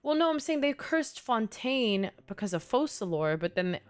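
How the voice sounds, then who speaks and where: A woman's voice: a little high, sing-song, normal speed, smooth. A woman with a New York accent giving casual livestream game commentary, thinking aloud in loose, chatty phrasing. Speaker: streamer.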